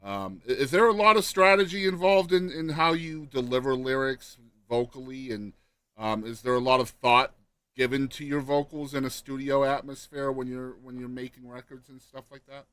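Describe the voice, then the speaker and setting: A man's voice: medium pitch, moderate pace, fairly bright tone, clean tone, dry recording. Casual male podcast host. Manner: friendly, loose questions with fillers like man and you know. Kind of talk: casual interview chat.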